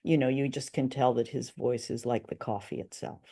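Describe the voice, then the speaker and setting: A woman's voice: a bass voice, steady tone, moderate pace, warm tone. A woman co-hosting a radio drama show, chatting casually with dry, understated humour.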